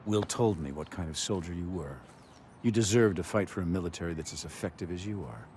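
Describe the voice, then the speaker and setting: An imperious middle-aged military magnate speaks in a low, controlled voice. He is pointed and persuasive, in a dramatic game scene with faint ambient noise. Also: fairly quick, a little mellow. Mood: firm, persuasive. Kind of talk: dramatic video game acting.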